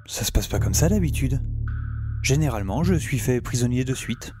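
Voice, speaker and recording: An excitable young man played by a male actor narrates his post-apocalyptic audio saga. He is wordy and self-mocking, with very lively swings of pitch. Loud music runs underneath. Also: normal speed, close to an everyday voice. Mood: tense, wry. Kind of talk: dramatised first-person audio saga narration.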